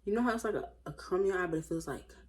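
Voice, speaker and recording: A woman's voice: fairly low pitch, racing. An American woman casually reading stories aloud with informal, sassy and blunt running commentary.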